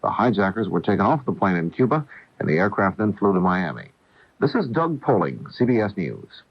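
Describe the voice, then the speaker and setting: Male news anchor, factual bulletin delivery, low register, vintage lo-fi AM radio sound. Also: big pitch swings, a little fast, slightly rough. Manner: formal, factual. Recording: dull roomy 1980 AM radio.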